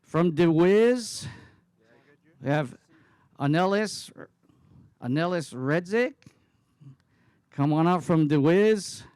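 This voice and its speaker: A man's voice: a little high, lively intonation, takes it slowly, pauses often, darkish tone, clean tone, no echo. A man moderating a live panel at a coaching summit, often stumbling and repeating words as he introduces guests. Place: conference room.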